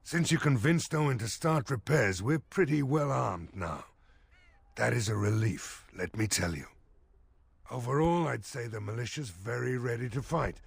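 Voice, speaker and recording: Gravelly, weary village mayor. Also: male voice, medium pitch, expressive, normal speed, no echo. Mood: cautiously relieved. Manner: plain-spoken, rustic. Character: gruff, weary village mayor leading a militia. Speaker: village mayor in a fantasy game. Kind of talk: fantasy video game dialogue.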